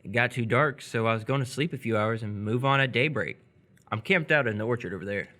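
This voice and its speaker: A man's voice: medium pitch, a little fast, bright, forceful tone, natural voice. A man acting a curious, wary seventeen-year-old boy out alone, in live radio theatre. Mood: curious, casual.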